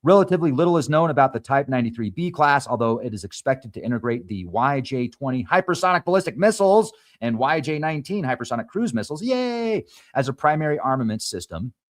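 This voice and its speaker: A man's voice: medium pitch, animated, quick pace. Non-native English-speaking man, mid-range voice, reading headlines and trips on names. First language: not English. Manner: reads articles aloud, stumbles on names, adds asides. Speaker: show host. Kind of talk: reading news headlines with commentary.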